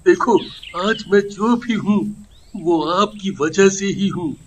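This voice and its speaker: Casting: male actor as earnest poor villager, high-pitched, unhurried, animated folk tale, slight background noise. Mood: grateful, earnest. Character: humble, good-hearted poor village man, easily amazed. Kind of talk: animated story voice acting.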